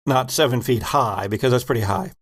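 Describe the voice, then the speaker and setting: A man acting as game master of a tabletop roleplaying game, calling for rolls and describing what the players see in a steady, directive way. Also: medium pitch, fairly quick, slight background noise.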